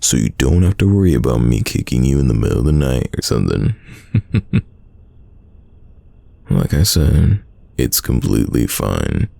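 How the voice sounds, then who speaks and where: A man acting a teasing, stubborn young friend in an intimate roleplay audio drama, with a very deep, gravelly voice and a casual delivery full of drawn-out sighs. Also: sing-song, dark tone, dry recording, exaggerated voice. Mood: teasing, insistent.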